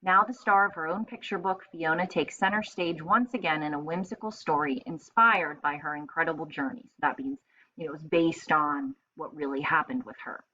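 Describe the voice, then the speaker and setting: A woman reading aloud to children as a teacher, expressive and explanatory, in a slightly muffled recording with faint noise. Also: low-pitched, moderate pace.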